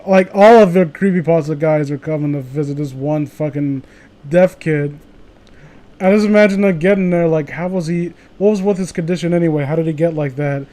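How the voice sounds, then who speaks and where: Casting: higher-voiced man, sarcastic and foul-mouthed, riffing casually. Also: darkish tone. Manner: sarcastic, profane. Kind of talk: casual joking commentary on read-aloud fan fiction.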